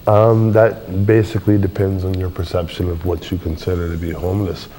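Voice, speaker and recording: A man in his twenties giving deliberate, philosophical answers as an interview guest. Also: low voice, level tone, dark tone, poor audio. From born in Jamaica. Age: 20s. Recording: faint background noise.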